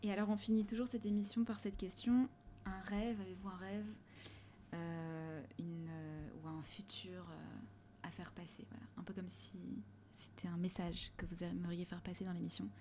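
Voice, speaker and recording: Woman, host, moderates panel, hesitant halting questions, faint café ambience. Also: on the low side, level tone, dark tone, slight background noise.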